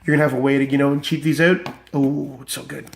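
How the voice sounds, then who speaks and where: A man's voice: medium pitch, little pitch movement, fast talker, vocal fry. A man casually walking through a card game deck, enthusiastic and rambling with many fillers, his voice still recovering from illness.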